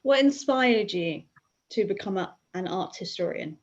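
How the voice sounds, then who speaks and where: A woman's voice: medium pitch, lively intonation, measured pace. Chatty young woman, dull lo-fi sound. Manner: chatty and gushing, many "like" fillers. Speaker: livestream host. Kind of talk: casual livestream hosting.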